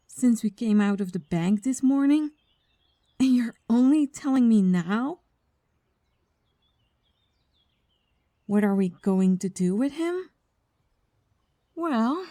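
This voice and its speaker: A female mafia boss, played by a voice actress, speaks slowly and deliberately, close and dry. She is commanding and condescending, with a mocking, quiet menace suited to an ASMR crime roleplay. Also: medium pitch, no room sound. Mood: cool, suspicious. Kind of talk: ASMR roleplay audio drama.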